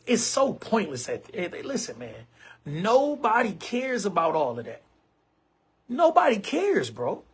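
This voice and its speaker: A man's voice: high-pitched, wildly swooping pitch, measured pace, a bit bright, slightly rough. A man in his forties hosting an online show, talking casually to camera in an emphatic, repetitive way and often calling listeners bro and man. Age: 40s.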